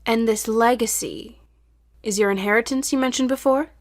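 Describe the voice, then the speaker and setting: A woman acting a patient, probing therapist who speaks in a measured, formal way in an audio drama. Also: on the high side, lightly acted.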